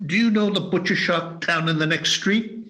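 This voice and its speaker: A man's voice: high voice, moderate pace, bright, forceful tone, poor audio. An actor playing a giddy, overjoyed old man in a radio play, exuberant and stammering. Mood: eager delight. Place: performed remotely over a video call.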